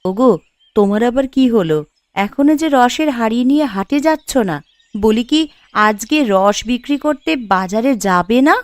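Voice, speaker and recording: A woman's voice: on the high side, animated, normal speed, no echo, natural voice. A woman voicing a caring, encouraging village housewife in a cartoon, with a homely, consoling manner. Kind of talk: cartoon voice acting.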